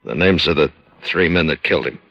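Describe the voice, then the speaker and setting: A gruff American underworld informant, extremely deep and rough-edged. He is cornered and remorseful in a vintage radio drama with a muffled sound. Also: a little fast, heavily acted. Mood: grim, confiding. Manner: gruff, plain-spoken. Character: cornered, remorseful tough male syndicate informant in hiding. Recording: muffled old radio recording.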